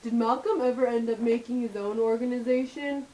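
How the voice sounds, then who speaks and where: A woman's voice: on the high side, fairly even intonation, fairly quick, dark tone, close to an everyday voice, poor audio. A woman voicing chatty, opinionated male puppets in a scripted school history show. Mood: explanatory.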